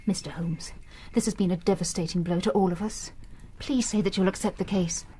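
An actress playing a grieving but determined stage leading lady in a radio drama, formal and earnest, with a husky voice. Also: lowish voice, fairly even intonation, brisk, dark tone, a little hiss or hum, close to an everyday voice. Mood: grieving, imploring.

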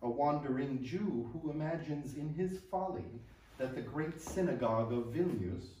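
A man's voice: medium pitch, normal speed, mellow, slight background noise. A middle-aged American man from upstate New York, speaking hesitantly with many fillers, then reading his poetry aloud at a library event. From upstate New York, USA. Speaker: poet and translator. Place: library hall, indoors. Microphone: room mic from a distance. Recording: echoey room, distant mic.

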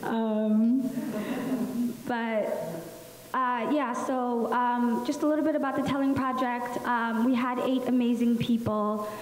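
A young woman from Somalia speaks into a handheld mic at a public panel, telling her own story at an unhurried pace, full of ums and pauses. Her voice carries a roomy echo with a long reverb tail from the large hall, over a light hiss. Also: fairly high pitch, fairly even intonation. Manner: slow, many ums, soft laughs. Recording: echoey hall with faint hiss.

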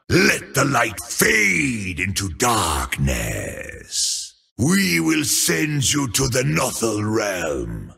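An actor playing a grandiose, menacing troll shadow priest in a video game, proclaiming slowly and theatrically with a gravelly, raspy voice. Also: male voice, medium pitch, exaggerated voice. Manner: proclaiming, theatrical and slow, often shouting.

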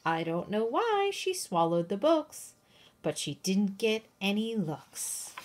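A woman reading a children's picture book aloud in an expressive, sing-song, emphatic way, with chatty asides to young listeners. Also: mid-range voice. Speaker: read-aloud storyteller.

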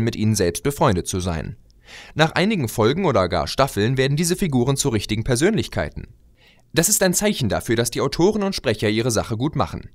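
A man delivering a scripted opinion monologue as a video blogger, conversational and expressive with rhetorical asides. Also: medium pitch, no echo. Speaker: video blogger and fan audio drama maker.

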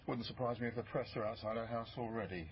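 Actor as a brisk police boss, slightly dull recording. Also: male voice, mid-range voice, steady tone, slight background noise, close to an everyday voice. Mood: brisk, evasive. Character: harried, brisk male senior police detective. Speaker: actor in radio crime drama. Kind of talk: naturalistic British radio drama acting.

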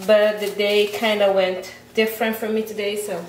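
A woman of 24 from Aruba chatting casually to camera in a halting way as she vlogs at home. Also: mid-range voice, flat delivery. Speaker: vlogger. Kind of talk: casual vlog chat to camera. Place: indoors at home, hard-walled kitchen and rooms. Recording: echoey room, some background noise.

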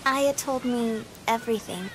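A woman playing a sweet, earnest, lovestruck teenage girl in a video game, gentle yet forthright. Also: fairly high pitch, measured pace, slight background noise, natural voice. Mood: happy, tender. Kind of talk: video game cutscene acting.

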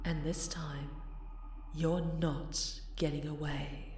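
A woman's voice: sits low, little pitch movement, takes it slowly, reverberant, heavy background noise. Woman acting whispery, obsessive yandere villainess. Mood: teasing, sinister. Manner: breathy, theatrical, often sinking into whispers. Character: obsessive yandere winged supervillainess, possessive, menacingly tender, taunting. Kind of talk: ASMR roleplay acting.

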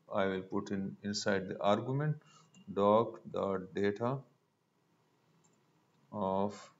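Male tutorial presenter, slow and halting, thinking aloud through each step, lo-fi mic. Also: fairly low pitch. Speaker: programming instructor. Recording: dull lo-fi mic with noise.

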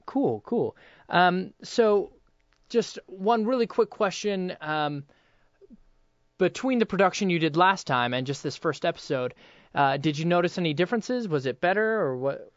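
A man's voice: high-pitched, lively intonation, measured pace, no room sound, dull audio. A young man hosting a casual interview in a hesitant, loosely phrased way. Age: young adult. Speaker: interviewer and voice actor.

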